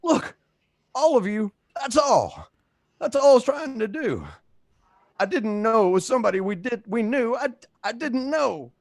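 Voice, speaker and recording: A man's voice: very high voice, big pitch swings, measured pace, no room sound, voice pushed up, exaggerated voice. A man acting a frightened, desperate man who stammers and pleads his innocence in a radio play. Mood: panicked, defensive.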